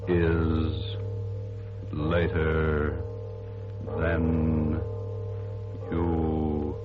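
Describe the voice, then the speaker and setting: A man's voice: a bass voice, monotone, drawn-out words. A man intoning the scripted opening of an old-time radio show as its announcer. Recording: muffled old radio recording with music.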